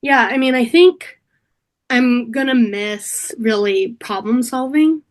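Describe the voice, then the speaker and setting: A middle-aged woman from California, slightly hoarse from a cold, talking reflectively in a casual interview, with many pauses and restarts. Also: on the high side. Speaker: interview guest, political staffer.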